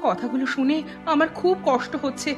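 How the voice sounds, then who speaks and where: High-voiced, concerned village housewife played by a woman. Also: a little fast, natural voice. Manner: earnest, concerned. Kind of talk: Bengali cartoon voice acting. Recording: music behind the voice.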